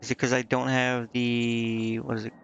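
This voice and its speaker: A man's voice: medium pitch, monotone, normal speed, a bit bright, highs cut off, slight background noise. A man streaming a game, muttering indecisively to himself in casual commentary as he thinks aloud. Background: game music.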